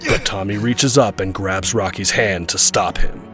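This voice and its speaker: A man's voice: on the low side, normal speed, fairly bright tone. A man delivering scripted action narration as the narrator of an audio drama. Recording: music bed under the voice.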